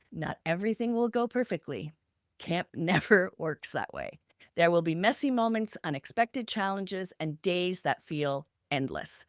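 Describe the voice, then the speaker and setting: A woman co-hosting a podcast, speaking conversationally with an earnest, encouraging manner and deliberate phrasing. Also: lowish voice, dry recording, studio quality. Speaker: podcast co-host. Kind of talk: conversational podcast wrap-up, reflective advice.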